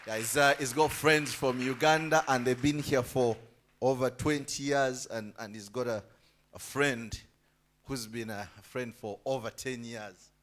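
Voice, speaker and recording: A man's voice: medium pitch, measured pace. A man hosting a church gathering, rousing the audience to applaud. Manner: lively, rousing announcer. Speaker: host of the gathering.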